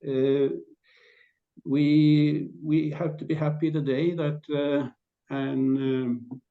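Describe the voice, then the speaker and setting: A man with a Norwegian accent giving a conference presentation, speaking haltingly with repeated words and frequent throat clearing. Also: fairly high pitch, little pitch movement, narrow, tinny audio. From Norway.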